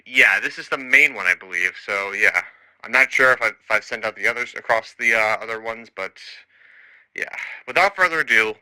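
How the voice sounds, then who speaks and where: Guy rambling thru an audio log story, really sharp bright voice, super dry sound, keeps losing his thread. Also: medium pitch, dry recording, low-quality recording. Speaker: storyteller and narrator.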